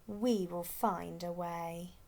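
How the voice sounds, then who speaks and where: A woman's voice: lowish voice, fairly even intonation, takes it slowly, a little mellow, voice pushed down. A woman voicing a brave, adventurous female penguin explorer with a bold, encouraging manner in a children's storybook. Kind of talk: children's storybook read-aloud.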